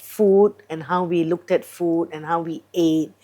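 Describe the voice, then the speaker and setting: Guest chef from Singapore, woman in middle age, lively with fillers, low register. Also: a little slow, slight background noise. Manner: chatty, animated, many fillers. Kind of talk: casual interview chat.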